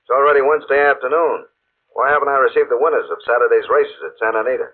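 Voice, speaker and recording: A man's voice: mid-range voice, steady tone, racing. A man playing a demanding, curt gangster-like blackmailer over a telephone in a 1950s radio drama. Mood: impatient, demanding. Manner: curt, domineering. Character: demanding, brusque male blackmailer and racetrack gambler. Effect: telephone filter. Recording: tinny phone line on old radio.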